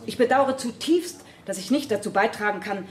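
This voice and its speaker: An actress playing a righteous, bitter nurse who testifies deferentially as a witness in a German radio play, recorded in an echoey, somewhat noisy room. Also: female voice, fairly high pitch, normal speed, natural voice. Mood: indignant, vehement. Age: 30s. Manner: dutiful, deferential, vehemently indignant. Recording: echoey room with background noise.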